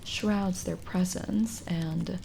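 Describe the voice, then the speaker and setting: A woman playing a lonely, kindly witch in an ASMR roleplay, speaking gently and coaxingly with hesitant pauses and drifts into whispering. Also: on the low side, steady tone, gravelly, slight background noise, heavily acted, poor audio. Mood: gently curious. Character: lonely, kindly forest witch, soothing and hospitable. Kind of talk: ASMR roleplay audio drama.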